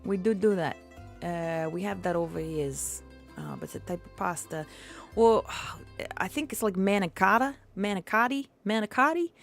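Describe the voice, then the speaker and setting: A woman's voice: mid-range voice, normal speed, lightly acted. Woman putting on a playful New mafia don lady. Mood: amused, animated. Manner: animated, playful, rambling. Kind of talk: role-play live stream chat. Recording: music playing behind the voice. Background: music.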